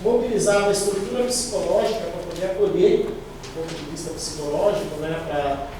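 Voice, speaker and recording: A man's voice: sits high, fairly quick. A man answering students' questions, explaining at length and often checking in with tag questions. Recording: echoey room, mic far off, faint noise.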